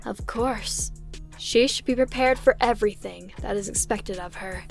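An actress playing a composed, authoritative assassin commander in an audio drama, formal and matter-of-fact. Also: female voice, medium pitch, moderate pace, vocal fry, soft background music, close to an everyday voice. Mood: cool, commanding.

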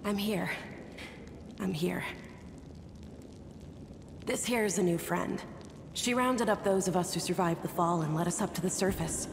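A plain-spoken woman scout for a fantasy game, reassuring and informal. Her lowish, gravelly voice echoes in a hall with clear background noise. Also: unhurried, long pauses. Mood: relieved, warm. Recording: echoey hall with noisy ambience.

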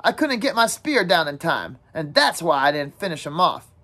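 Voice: mid-range voice, sing-song, moderate pace, bright, forceful tone, heavily acted. A narrator playing a bossy, brash schoolboy who leads the hunt in a storybook reading. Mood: matter-of-fact.

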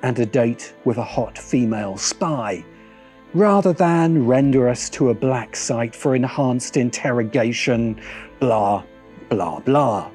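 A middle-aged man narrates a video essay with a deliberate, wry delivery. His pitch sits in the middle, and light music plays behind him. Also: lively intonation, darkish tone. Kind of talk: scripted video essay commentary. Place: open-sided outdoor pavilion by a garden. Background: background music.

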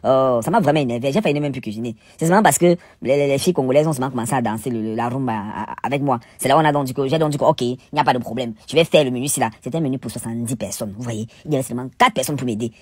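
A man's voice: mid-range voice, very fast, few pauses, darkish tone, no echo. A man with a Cameroonian accent telling stories in a casual, chatty vlog voice-over, theatrical and exclamatory, with playful indignation. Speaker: cooking vlogger and narrator.

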